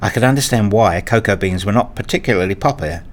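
A man reading a scripted first-person story as narrator, in a measured, introspective manner. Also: on the low side.

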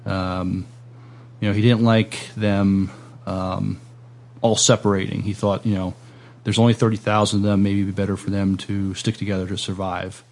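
A man's voice: on the low side, little pitch movement, moderate pace, a little mellow. A man hosting a casual podcast interview, speaking in a hesitant, agreeable way. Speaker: podcast host.

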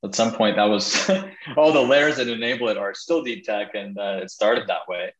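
A man's voice: lowish voice, moderate pace, a bit bright, reverberant, dull audio. A man, a startup founder, answering interview questions over a video call in a thoughtful way with many fillers.

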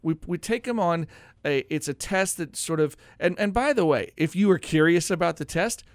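Man, talk radio host, slightly high pitch, folksy explainer, conversational, very dry studio sound. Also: moderate pace, no room sound. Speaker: financial adviser.

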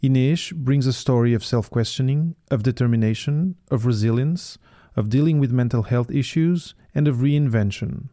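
A man's voice: mid-range voice, normal speed, hardly any pauses, warm tone, no room sound. Upbeat male podcast host, dry close mic. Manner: upbeat, stumbles when ad-libbing. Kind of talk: scripted intro and casual interview.

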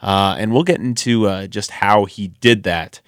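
A man's voice: lowish voice, a little slow, smooth, dry recording. A man co-hosting a sports podcast in a radio studio, chatting casually and rambling through lots of ums and restarts. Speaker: podcast co-host.

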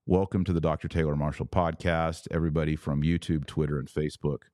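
A man with an American accent and a low voice speaks in a very dry acoustic. He hosts a live religious podcast, taking questions from viewers. His delivery is didactic and emphatic, spelling out each point like a teacher. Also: little pitch movement, quick pace, mellow, dry recording.

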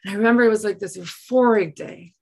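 A middle-aged woman from South Africa with an Afrikaans accent, telling personal stories reflectively in an earnest way, often halting to search for words. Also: mid-range voice, vocal fry, a little mellow, breathy edge. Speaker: interview guest, writer. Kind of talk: reflective personal storytelling in conversation.

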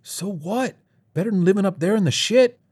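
A man voicing brash young street gang members in a story, slangy, pushy and excitable. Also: medium pitch, normal speed, voice pushed up, close to an everyday voice. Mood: scornful.

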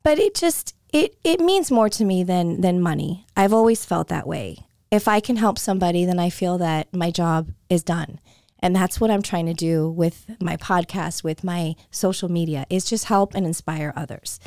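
Hoarse woman podcast host, chatty solo talk, dry close sound. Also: fairly low pitch, animated, moderate pace, mellow, no echo. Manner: chatty and conversational, with frequent restarts. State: hoarse, voice coming and going.

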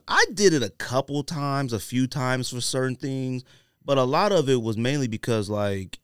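A man chatting casually and opinionatedly on a podcast, using relaxed slang. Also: medium pitch, no echo, studio quality. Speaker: podcast host. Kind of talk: casual sneaker podcast chat.

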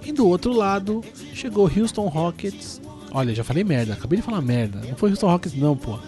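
A man's voice: high-pitched, big pitch swings, brisk, mellow, soft background music. A man with a São Paulo Brazilian accent and a cold, hosting a casual sports podcast in a rambling, self-deprecating, slangy way. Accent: São Paulo Brazilian Portuguese. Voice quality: congested from a cold. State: has the flu. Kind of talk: casual sports news chat.

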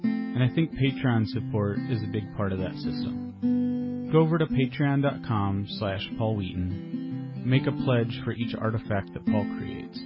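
A man's voice: lowish voice, a little slow. A man reading a scripted supporter appeal as a podcast introduction, with guitar playing behind him. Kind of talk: scripted announcement. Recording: slightly muffled voice over guitar music. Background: plucked guitar music.

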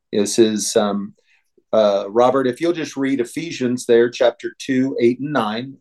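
An American man co-hosting a religious podcast, teaching in a deliberate, halting way. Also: medium pitch, darkish tone.

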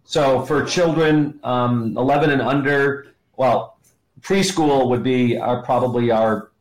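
Mid-pitched man teaching in an echoey room. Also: steady tone. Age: middle-aged or older. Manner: explanatory, emphatic pauses, rhetorical questions. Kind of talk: teaching in conversation, quoting scripture. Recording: roomy, echoing sound.

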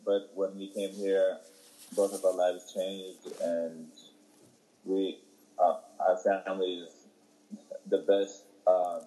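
Young man from Ethiopia, low and mellow voice, halting answers in a casual interview. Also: little pitch movement, low-quality recording. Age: young adult. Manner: halting, many fillers and restarts. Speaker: interviewee, young soccer player.

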